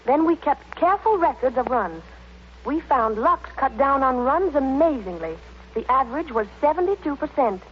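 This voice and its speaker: A woman's voice: high voice, lively intonation, moderate pace. A woman with an American accent reading a scripted testimonial in an old 1930s radio advertisement. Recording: dull 1930s radio, faint hum and noise.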